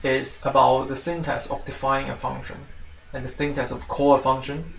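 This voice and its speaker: A man with a Chinese accent giving an informal tutorial as a teaching assistant, halting and often repeating and rephrasing himself. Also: mid-range voice, little pitch movement, creaky, fairly bright tone. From China. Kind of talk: informal spoken tutorial walkthrough. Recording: roomy, distant mic, faint noise and clicks.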